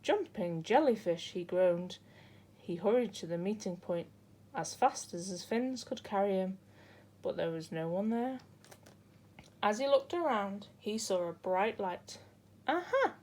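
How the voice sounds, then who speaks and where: Female storybook reader, unhurried and soft-toned, for children's story narration. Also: mid-range voice.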